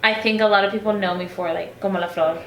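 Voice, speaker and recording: Guest spot: young woman singer, chatty and casual, mid-pitched, echoey room. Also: steady tone, quick pace, a bit bright. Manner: chatty, many "like" fillers. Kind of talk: casual interview chat.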